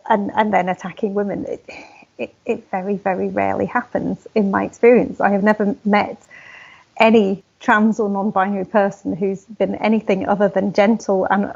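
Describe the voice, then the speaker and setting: A woman hosts a conversational interview in a chatty, agreeable way. Her medium-pitched voice is husky, with plenty of fry. The sound is somewhat dull and lo-fi. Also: a little mellow. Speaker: interviewer, host.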